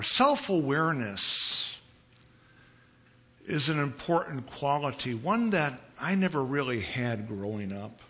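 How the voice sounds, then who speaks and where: A man from Chicago preaching as a visiting pastor in a church, with a big voice and an unhurried, conversational manner, pausing and repeating phrases for emphasis. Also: medium pitch, creaky, a bit bright, dull audio. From Chicago, United States. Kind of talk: conversational sermon. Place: church sanctuary.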